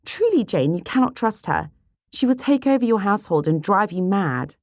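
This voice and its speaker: A woman's voice: medium pitch, lively intonation, normal speed, darkish tone, dry recording, clean studio sound, voice pushed up, natural voice. A woman voicing an outspoken, impatient young sister in a period romance audiobook, with a blunt, emphatic delivery.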